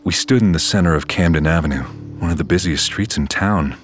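An actor playing a wary, easygoing young man in an audio drama, hesitant and low-key, who also narrates his own eerie story. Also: brisk, slight background noise, natural voice. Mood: tense narration. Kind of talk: audio fiction acting with first-person narration.

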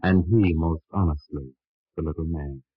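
An actor playing a mad, manipulative conspirator in a 1950s radio drama, theatrical, poetic and deliberately commanding. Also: male voice, very deep voice, no echo. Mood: grandly menacing. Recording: muffled vintage radio recording.

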